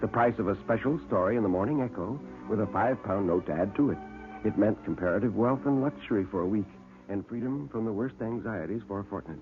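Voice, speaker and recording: A man narrating a horror tale in classic radio style, deliberate and full of dramatic suspense. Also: lowish voice, soft background music. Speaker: storyteller and narrator. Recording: muffled narrow-band old radio recording.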